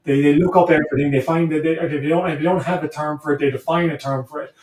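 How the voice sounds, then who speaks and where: A man's voice: on the high side, fairly even intonation, fast, a little mellow, a little hiss or hum. A man who is a native English speaker, talking reflectively as an interview guest, hesitant and repeating words as he thinks. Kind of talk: reflective conversation. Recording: roomy echo, distant from the mic.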